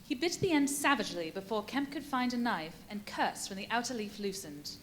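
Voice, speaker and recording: A woman plays the narrator of a live radio drama. Her voice is on the high side and lively in its rise and fall, telling the story in scripted prose. There is faint noise in the recording. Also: fairly quick, close to an everyday voice. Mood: measured storytelling. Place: live performance at a brewery venue.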